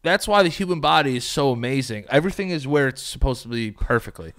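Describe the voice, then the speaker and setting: A man's voice: medium pitch, lively intonation, fast, fairly bright tone. A man co-hosting a podcast, riffing on absurd ideas in casual, profane slang. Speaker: podcast host. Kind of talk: casual comedic banter.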